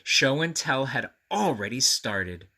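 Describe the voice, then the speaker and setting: A man reading a storybook aloud to children, expressive and confiding, pausing to put questions to the listener. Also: mid-range voice. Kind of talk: animated read-aloud with friendly asides to children.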